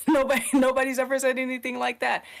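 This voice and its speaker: A woman's voice: sits high, completely flat delivery, fast talker, vocal fry. A woman on a podcast panel, talking casually and earnestly as she explains a point, acting out imagined quotes as she goes.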